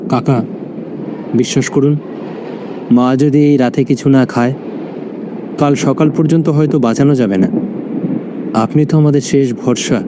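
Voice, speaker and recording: A man's voice: medium pitch, normal speed, long pauses, close to an everyday voice. Man playing a pleading young villager, noisy backdrop. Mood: desperate pleading. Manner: pleading, deferential. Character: desperate, humble poor young village man. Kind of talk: cartoon story acting.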